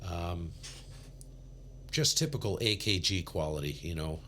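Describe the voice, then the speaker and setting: Deep-voiced man on a ham radio livestream. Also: unhurried, pushed and bright, slight background noise. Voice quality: low voice. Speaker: livestream host, amateur radio operator. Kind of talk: casual livestream chat and radio callsigns. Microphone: big studio condenser.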